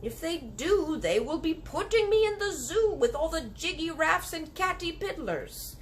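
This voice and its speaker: A woman voicing a big, friendly old male giant who talks slowly in mangled grammar and made-up words, reading a storybook. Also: extremely high pitch, sing-song, slight background noise, voice pushed up, exaggerated voice. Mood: stubborn.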